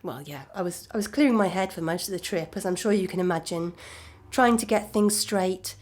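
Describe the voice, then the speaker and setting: An actress playing a calm, resigned ex-girlfriend in a naturalistic audio drama, measured, dry and matter-of-fact. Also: lowish voice, lightly acted. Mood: reminiscing.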